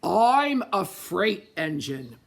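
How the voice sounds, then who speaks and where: A woman's voice: medium pitch, sing-song, very slow, gravelly, heavily acted. A woman voicing a big, self-important male freight engine in a storybook, bellowing his lines. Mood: pompous.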